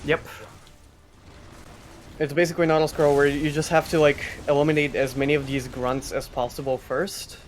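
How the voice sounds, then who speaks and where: A man's voice: fairly high pitch, flat delivery, moderate pace, a little hiss or hum. A man giving casual, joking live commentary on a speedrun at a gaming event. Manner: joking, playful banter.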